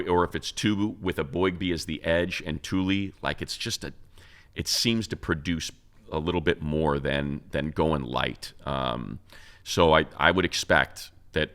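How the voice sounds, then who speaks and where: A man's voice: rumbling low, fairly bright tone, clear, resonant tone. A man hosting a sports show, giving casual, emphatic football analysis in conversation.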